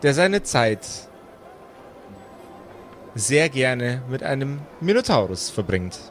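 A man hosting as game master, telling a story in an atmospheric, unhurried narrator's style. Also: medium pitch, big pitch swings, fairly bright tone, soft background music. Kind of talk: slow atmospheric scripted narration.

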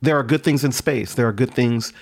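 Thoughtful man, podcast guest, mid-pitched voice. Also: brisk, creaky. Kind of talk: casual reflective conversation.

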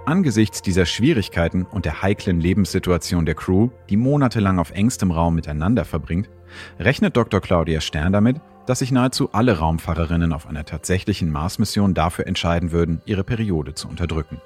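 A man's voice: deep voice, expressive, a little fast, darkish tone. A man reading scripted documentary narration as the host. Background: background music.

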